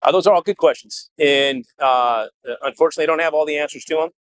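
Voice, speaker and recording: A man speaking as a police chief at a press briefing, earnest and measured, with a halting delivery as he gives a statement and answers questions. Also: a little high, a little hiss or hum.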